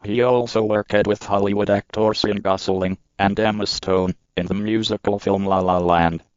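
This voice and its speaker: A man reading a scripted news feature as reporter, with steady, measured delivery. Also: lowish voice, clear, resonant tone, no room sound, lo-fi sound.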